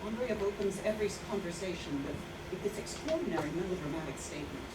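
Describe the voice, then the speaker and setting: A woman playing a haunted former nurse recounting her memories in a halting, reflective monologue at a staged reading. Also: on the low side, expressive, very noisy, close to an everyday voice. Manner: halting, with repeated words. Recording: roomy, distant mic, music behind.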